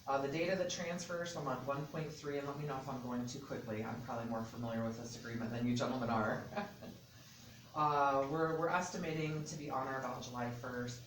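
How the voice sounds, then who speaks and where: A man from the state transportation office walking county officials through a transfer agreement section by section, in a loud, methodical voice. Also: mid-range voice, steady tone, fast talker. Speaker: state transportation department representative. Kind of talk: explaining an agreement at a public meeting. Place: county meeting room. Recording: echoey hall, distant mic, background noise.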